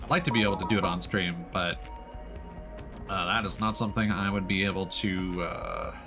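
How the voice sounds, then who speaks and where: Male game streamer, very lively pitch swings, casual thinking-aloud commentary, exclaims and swears, game music behind. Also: moderate pace. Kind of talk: live let's play commentary, casual chat. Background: video game music.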